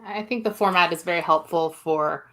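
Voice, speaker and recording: A woman's voice: on the low side, normal speed, sharp tone. A woman speaking as a guest on a podcast, chatting casually as she reviews something.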